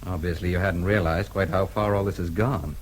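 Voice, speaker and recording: An actor playing a concerned, authoritative gentleman in a radio drama, calm, measured and matter-of-fact. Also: sits low. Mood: calm, grave.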